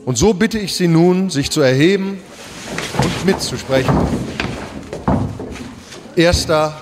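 Solemn high-voiced male bank president. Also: slow, noisy background. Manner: oratorical, stately, addresses audience formally. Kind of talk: formal ceremonial speech in radio drama.

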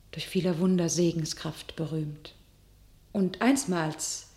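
A woman's voice: medium pitch, natural voice. A woman acts out a young shepherdess who has holy visions. She declaims solemn verse with devout fervour and firm resolve, in the manner of a classical radio drama. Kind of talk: classical German radio play acting.

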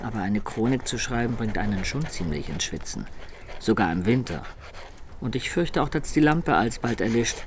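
A man's voice: lowish voice, expressive, normal speed, noisy background, natural voice. An actor playing a roguish man of peasant birth, narrating his life colloquially and reflectively in a radio play.

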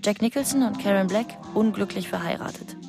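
A woman's voice: medium pitch, brisk, vocal fry, natural voice. Here is a haunted, troubled wife , played by an actress, confessing in a radio drama. She speaks in intense fragments and lists, in a mid-range female voice. Music runs beneath her words. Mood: bleak, confessional. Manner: intense, fragmented, list-like narration.

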